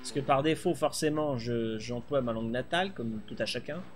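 A man's voice: mid-range voice, a little fast, slight background noise. A middle-aged man streaming a rally game from a small room, chatting casually and thinking aloud with many fillers. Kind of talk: live gameplay chat.

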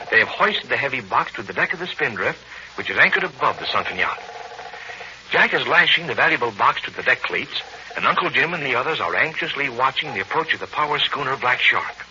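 A man's voice: medium pitch, big pitch swings, brisk, sharp tone. An American man announcing on 1940s radio with a projected, hearty, emphatic scripted delivery. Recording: muffled noisy 1940s radio broadcast.

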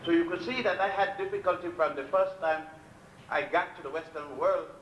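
An older man giving a public lecture from a podium, blunt and defiant, telling his story with long pauses. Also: a little high, fairly even intonation, far from the mic, highs cut off, a little hiss or hum. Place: lecture hall at a podium before a chalkboard. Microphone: podium mic on a stand, filmed from a distance. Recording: echoey hall, recorded from afar.

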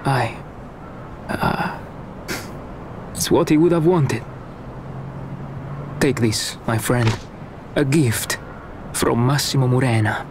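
A man's voice: mid-range voice, slow pace, pauses often, background noise, lightly acted. A young man playing an earnest, humble Italian resistance fighter in a video game, hesitant, with an Italian accent. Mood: resolved. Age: young adult.

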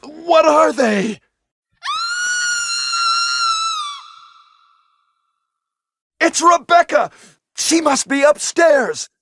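A man's voice: extremely high pitch, expressive, slow pace, shouting, heavy background noise, full character voice. A man playing an earnest young police action hero in a horror video game, with stilted, melodramatic phrasing. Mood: alarmed.

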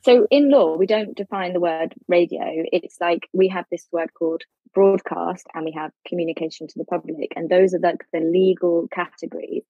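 A woman lawyer, explaining legal points carefully in a podcast interview, with a husky voice. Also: lowish voice, steady tone, moderate pace, few pauses, dry recording, lo-fi sound. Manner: articulate, explains carefully with fillers. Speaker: lawyer guest.

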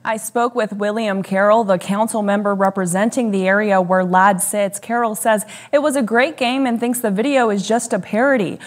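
A woman's voice: mid-range voice, fairly even intonation, normal speed, few pauses. Young lady tv reporter reading a news script, mid voice, in a studio.

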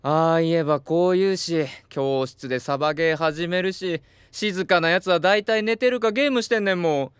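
Audio drama: new teacher male actor, Kansai accent, high-pitched, exasperated, crisp and dry. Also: moderate pace, hardly any pauses, no room sound, close to an everyday voice.

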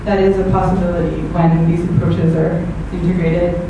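A woman presents research and answers audience questions, pausing often with ums. Her voice sits a bit low and comes from a distance in a very echoey room with steady background noise. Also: lo-fi sound. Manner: hesitant, many ums. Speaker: speaker. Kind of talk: academic talk with Q&A. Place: meetup venue hall. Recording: echoey hall, distant mic, noisy.

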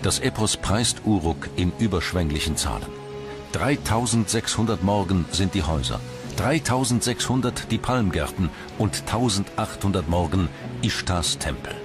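A man narrates a documentary from a script in standard German. His voice sits very low, and music plays clearly behind him. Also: lively intonation, moderate pace. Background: background music.

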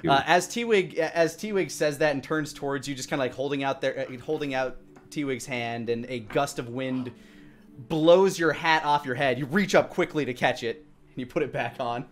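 A man in his thirties improvising tabletop game narration as game master, in a halting, searching way. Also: mid-range voice, slight background noise. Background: faint music.

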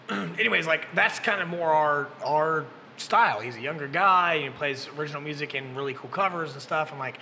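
Bright-voiced man in his thirties hosting. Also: a little high, fairly quick, vocal fry, in a large hall, a little hiss or hum, rough recording. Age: 30s. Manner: loose, profane, joking. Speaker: podcast host and brewery talent booker. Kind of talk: casual chat. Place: brewery taproom. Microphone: desk mic on boom arm.

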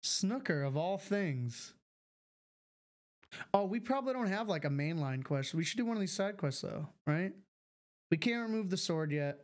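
A man's voice: high voice, expressive, moderate pace, long pauses. Chatty man streaming a game. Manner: chatty, reads chat aloud and answers it. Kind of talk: casual live game stream chat. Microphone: studio mic with filters.

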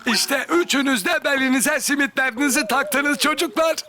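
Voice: sits high, normal speed, loud music behind the voice, lightly acted. An actor playing a kindly, affectionate old grandfather in a preschool cartoon, explaining things patiently. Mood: amused. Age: older.

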